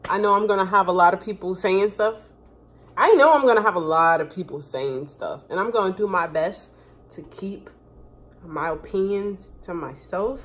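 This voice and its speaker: A woman's voice: medium pitch, normal speed. A woman in her twenties chatting casually to her phone camera in a home kitchen, rambling with lots of fillers. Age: 20s. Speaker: vlogger. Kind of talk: casual chat. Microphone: phone camera propped close on the counter.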